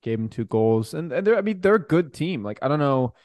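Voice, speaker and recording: A man's voice: fairly high pitch, big pitch swings, brisk, darkish tone, dry recording. A man co-hosting a casual football chat, talking in a hesitant, repetitive way. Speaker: podcast co-host.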